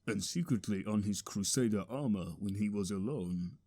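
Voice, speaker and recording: Man putting on a low, dark, sultry host. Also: sing-song, unhurried, full character voice. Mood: hushed, sultry. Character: smooth-toned, hushed, mock-sensual storytelling host. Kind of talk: mock-sensual podcast hosting.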